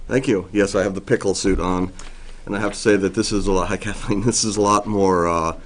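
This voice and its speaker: A middle-aged man, a forest district ranger, giving a loud, plainspoken briefing to a town board with frequent ums and restarts. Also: low-pitched, fairly even intonation, brisk, a little hiss or hum. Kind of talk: informal briefing at a public meeting. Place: town meeting room.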